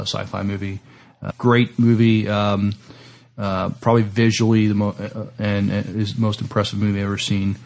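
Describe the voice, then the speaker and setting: A man co-hosting a podcast, talking casually in a halting, hesitant way. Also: on the low side, gravelly. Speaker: podcast co-host. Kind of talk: casual list rundown and chat.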